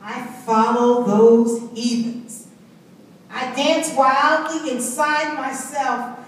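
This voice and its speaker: Spirited young Southern woman, performed live by an actress, slow, emphatic stage storytelling, proud and playful, picked up from far off. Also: high voice. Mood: excited, rousing. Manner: theatrical, deliberate, emphatic, expressive. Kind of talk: dramatic monologue performed before an audience. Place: live reading in an echoing indoor venue. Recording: echoey room, distant mic, faint noise.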